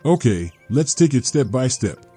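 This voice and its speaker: Here is a man acting as a kindly older fellow with a mellow, soft-edged voice. His pitch moves around a lot as he talks in a folksy, protective way. It is dramatized audio comic acting. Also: very animated, normal speed, slightly rough, faint music behind. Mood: calm, concerned. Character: kindly older homeless man, gruff but protective rescuer.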